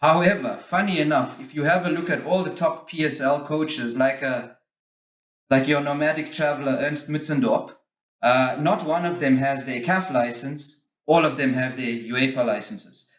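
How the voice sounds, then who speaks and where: A man's voice: fairly high pitch, moderate pace, fairly bright tone. Man in an echoey, muffled recording. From Switzerland. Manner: frank, opinionated. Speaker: interview guest, youth football coach. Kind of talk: conversational interview answers, explaining.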